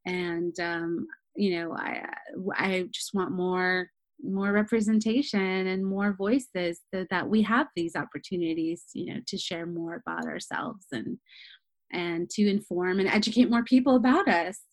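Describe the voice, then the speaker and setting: A woman guest on a podcast, talking in a lively, casual way, restarting her sentences often. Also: fairly low pitch, unhurried, no echo. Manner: animated, restarts sentences, many fillers. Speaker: travel journalist guest.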